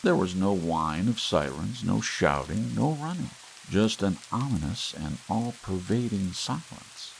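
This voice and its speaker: A man narrating an audiobook in a slow, soft, hushed ASMR reading over gentle rain. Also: deep voice, animated, gravelly, slight background noise, poor audio.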